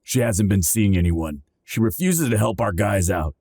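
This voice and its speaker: An actor playing a loyal but hot-tempered dragon captain who sounds gruff and exasperated. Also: male voice, fairly low pitch, animated, normal speed, a little mellow, no echo, studio quality. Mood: frustrated. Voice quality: gravelly. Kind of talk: audio roleplay acting.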